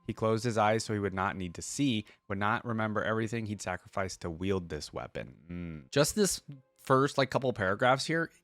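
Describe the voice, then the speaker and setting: Low-pitched man reading and reacting. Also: expressive, brisk, a bit bright, dry recording, soft background music. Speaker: reaction video host. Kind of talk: reading lore aloud with casual commentary.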